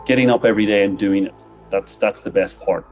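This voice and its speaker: Brief: deep, level-toned founder, middle-aged, thinks aloud in stops and starts, music underneath. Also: male voice, low-quality recording. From Northern Ireland. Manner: halting, restarts phrases while thinking aloud. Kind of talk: reflective interview answer.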